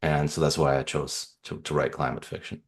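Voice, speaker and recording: A man's voice: a bass voice, level tone, brisk. A middle-aged man from Canada, an author answering interview questions in a thoughtful, rambling way with fillers and restarts. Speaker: author guest.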